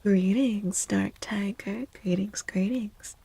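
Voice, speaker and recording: Soft, breathy woman with creaky fry. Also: medium pitch, steady tone, slow pace. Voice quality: soft, breathy, often dropping to a whisper. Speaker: live streamer reading tarot cards for chat. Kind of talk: soft-spoken ASMR chat, greeting viewers.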